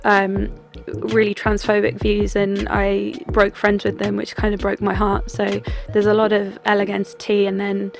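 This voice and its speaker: A young adult doll artist talking through their craft in a relaxed, chatty way, with plenty of ums and restarts. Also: female voice, mid-range voice, a little slow, creaky, few pauses. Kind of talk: casual vlog talk and craft voice-over. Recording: music bed under the voice.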